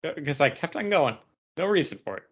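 A man's voice: fairly high pitch, sing-song, fairly quick, breathy edge. A man co-hosting a casual podcast about pop culture, talking in a rambling way and often interrupting himself. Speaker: podcast co-host. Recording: dull lo-fi audio.

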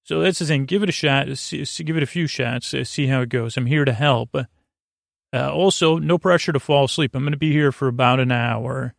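A man with a gravelly, creaking voice at a middling pitch, recorded close and dry. He hosts a sleep podcast as a meandering bedtime ramble, halting and doubling back through silly tangents. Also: dry recording. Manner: meandering, halting, goofy tangents and self-interruptions. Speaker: podcast host.

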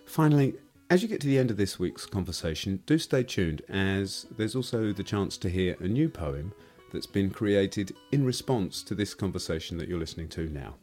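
A man's voice: deep voice, expressive, moderate pace, few pauses, a little mellow, soft background music. A man, a podcast host reading an announcement to listeners.

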